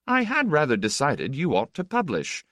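A man's voice: fairly high pitch, wildly swooping pitch, moderate pace, a bit bright, no room sound, studio quality, pitched above the performer's own voice. A man voicing a flippant, self-assured count with an urbane, ironic, teasing manner in an audiobook. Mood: wry. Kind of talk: audiobook character dialogue.

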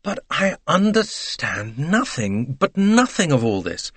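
A man voicing an excitable middle-aged male train company director who pleads and exclaims in agitation in an audiobook. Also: on the high side, slow, breathy edge, no room sound, voice pushed up, heavily acted. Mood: agitated.

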